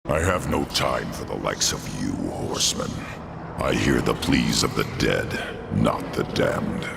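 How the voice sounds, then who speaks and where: An extremely deep, heavily creaking voice under loud music a stern undead judge of souls in a video game. He is solemn and disdainful, weighing each phrase like a priest. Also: lively intonation, unhurried, full character voice. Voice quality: gravelly. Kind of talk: video game cutscene voice acting.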